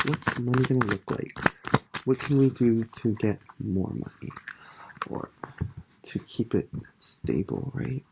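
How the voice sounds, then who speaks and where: A congested tarot reader leading a relaxed pick-a-card reading, speaking softly and musing in a meandering way. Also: mid-range voice, measured pace, creaky, long pauses, noisy background. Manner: soft-spoken, meandering, musing aloud.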